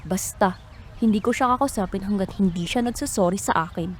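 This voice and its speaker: A woman's voice: mid-range voice, fairly quick, slight background noise, natural voice. A woman with a Filipino accent playing a hurt, stubborn younger sister in a radio drama. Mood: indignant, hurt.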